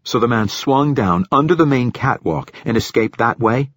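A man's voice: mid-range voice, lively intonation, moderate pace, fairly bright tone, dry recording, voice pushed up. A man voicing a stern male priest-captain in an audiobook, questioning curtly and insistently with dry sarcasm. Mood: probing, sarcastic. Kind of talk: audiobook character dialogue.

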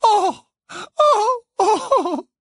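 A man voicing a distraught middle-aged mother in an audiobook, crying and stammering in a high, trembling voice. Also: lively intonation, fairly bright tone, dry recording, studio quality, heavily acted. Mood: wailing, panicked. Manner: stammering, halting, wailing.

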